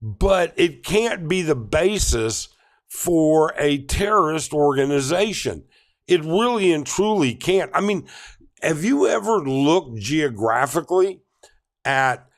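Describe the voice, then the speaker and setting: A man's voice: fairly high pitch, animated, takes it slowly. A middle-aged man hosting a casual live stream, reading chat comments aloud and answering with many ums and restarts. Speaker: livestream host.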